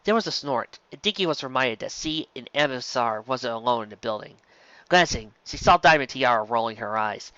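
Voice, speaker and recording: Story reader for audiobook fiction. Lively, swooping pitch, dry lo-fi sound. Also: brisk, no echo. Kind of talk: scripted narration, reading a story aloud.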